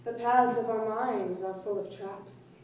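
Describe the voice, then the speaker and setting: A woman acting a solemn, commanding soothsayer in a staged play, with a measured, ceremonial and probing delivery. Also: on the high side, fairly even intonation, a little mellow, close to an everyday voice. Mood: solemn, calm. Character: solemn, commanding female soothsayer guiding a trance. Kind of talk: dramatic staged play reading. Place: indoor room, staged reading recorded from afar. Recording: very echoey room, distant mic, faint noise.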